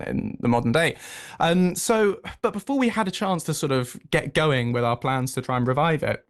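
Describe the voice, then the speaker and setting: A British man speaking enthusiastically and effusively as a guest in a conversational radio interview. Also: a little high. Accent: British English. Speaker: interview guest, audio drama producer.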